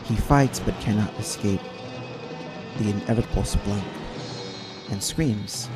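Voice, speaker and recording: Slow, low-voiced male storyteller over music. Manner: theatrical, grandiose delivery with dramatic pauses. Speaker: narrator. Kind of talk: dramatic old-time radio adventure narration. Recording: narration over guitar music. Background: easy listening guitar music.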